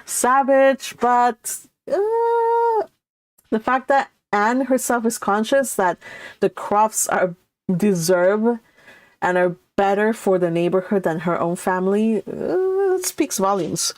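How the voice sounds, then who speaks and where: Female read-along host, mid-pitched, classic fiction with chatty, expressive asides, dry recording. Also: slow pace, no room sound. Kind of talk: reading a classic novel aloud with casual asides.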